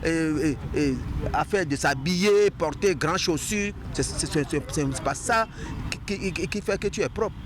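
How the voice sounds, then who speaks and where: A man from Ghana answering a street interview outdoors, animated and emphatic, repeating words. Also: on the high side, unhurried, noisy background.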